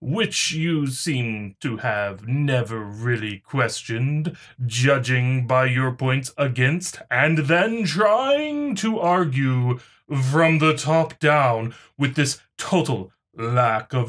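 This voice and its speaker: Man putting on a pompous, slow-talking snobby preachy commenter. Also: mid-range voice, animated, fairly bright tone. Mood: haughty, condescending. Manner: pompous, haughty, drawn-out delivery. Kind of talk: mocking dramatic reading.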